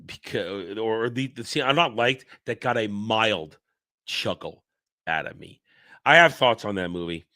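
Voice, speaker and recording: A man's voice: fairly low pitch, animated, measured pace, bright, forceful tone, dry recording, clean studio sound. A man hosting a casual livestream, sick, congested and tired, talking bluntly and rambling with restarts. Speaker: livestream host.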